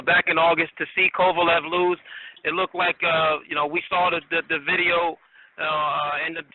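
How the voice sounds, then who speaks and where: A man working as a press interviewer, asking questions in a halting, repetitive way on a conference call. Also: fairly high pitch. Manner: halting, repeats words while forming questions. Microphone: telephone conference call. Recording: muffled tinny phone line.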